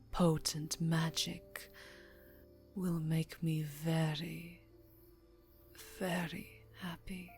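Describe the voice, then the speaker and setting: A woman playing a seductive, predatory yet tender vampire countess in a whispery ASMR roleplay, breathy, intimate and coaxing. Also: fairly low pitch, exaggerated voice. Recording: soft music behind the voice.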